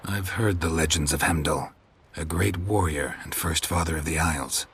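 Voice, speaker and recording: Very deep, gruff laconic swordsman. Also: normal speed, a little hiss or hum. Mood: dry, calm. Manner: terse, deadpan. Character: gruff, laconic male wandering swordsman, dryly menacing. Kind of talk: video game dialogue acting.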